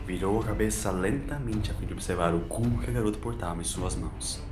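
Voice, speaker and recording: A man's voice: lowish voice, quick pace. A man narrating a scripted dramatic story in a solemn, theatrical way. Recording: echoing voice over music.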